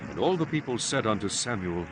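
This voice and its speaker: A man's voice: lowish voice, big pitch swings, a little fast, background noise. A man narrating scripture as the scripted narrator of an audio Bible drama. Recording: prominent music behind the narration.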